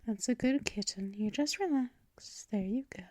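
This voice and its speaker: A woman voicing a gentle, possessive female vampire mistress in an ASMR roleplay, speaking softly and often dropping into whispers and shushing. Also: a little high, lively intonation, normal speed, dry recording. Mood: soothing. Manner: soft and soothing, drifting into whispers and shushing. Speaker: ASMR performer.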